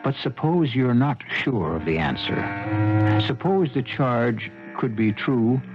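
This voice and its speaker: A man's voice: medium pitch, lively intonation, slow. A man hosting a radio drama, delivering scripted narration with wry, musing rhetorical questions. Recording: dull radio broadcast, loud music behind. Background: music.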